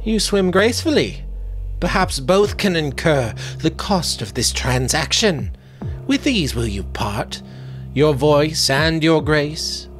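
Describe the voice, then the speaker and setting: Storybook villain: male narrator as a cruel old hag sea witch, scornful, imperious, pitched up and loud. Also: very animated, slow pace, faint music behind, exaggerated voice. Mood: brusque bargaining. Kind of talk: dramatic storybook reading.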